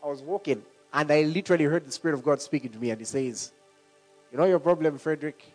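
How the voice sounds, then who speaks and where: A middle-aged man preaching a sermon. He talks in an animated way and laughs often between points. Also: fairly high pitch, fast, faint music behind. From Zambia.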